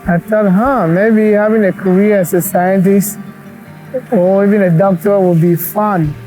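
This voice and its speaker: A man in his forties from Cameroon, a doctor and cancer researcher, speaking earnestly and reflectively in a documentary interview, pausing as he thinks. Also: sits high, steady tone, mellow, soft background music, rough recording. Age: 40s. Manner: earnest and reflective, with thoughtful pauses.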